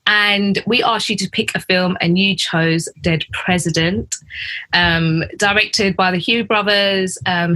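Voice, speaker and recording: Bright-voiced British woman podcast host. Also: mid-range voice, poor audio. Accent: British English. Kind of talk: casual chatty film podcast interview.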